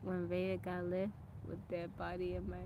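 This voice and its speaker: Lady chatting on a phone livestream outside on a farm, animated, laughs a lot, animals and outdoor noise behind. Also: fairly low pitch, moderate pace. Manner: animated storyteller, laughs often, thanks viewers by name. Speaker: live streamer. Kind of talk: casual livestream storytelling and chat.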